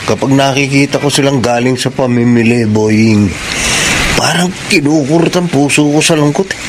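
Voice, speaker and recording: An actor playing a scheming, worn-down poor laborer in an emphatic Filipino radio drama style. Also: male voice, medium pitch, normal speed, heavy background noise, close to an everyday voice. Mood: sorrowful.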